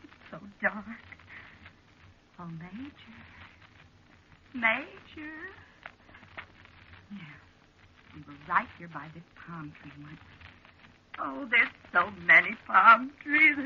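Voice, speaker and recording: A sweetly credulous young American woman in a 1940s-style radio drama. Her delivery is fretful and very animated, full of exclamations, heard through a dull, faintly noisy old recording. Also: mid-range voice, very slow, pauses often, full character voice. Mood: fretful, searching. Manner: exclamatory, fretful, wide-eyed.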